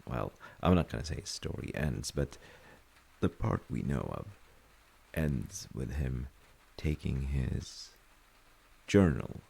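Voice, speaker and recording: A man acts out a thoughtful, comforting father in a bedtime audio roleplay. His voice is extremely creaky and husky, with a dark, mellow tone. He speaks softly and reflectively, hesitating mid-sentence as he gently reassures. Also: animated, slow, heavily acted. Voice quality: very deep, gravelly, husky. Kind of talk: soft-spoken sleep-aid audio roleplay.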